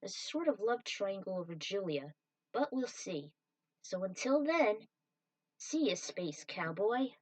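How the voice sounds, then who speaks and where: A woman's voice: mid-range voice, sing-song, unhurried, a little mellow, no room sound, distant mic, low-quality recording. A woman giving an author's commentary on her own fan fiction story.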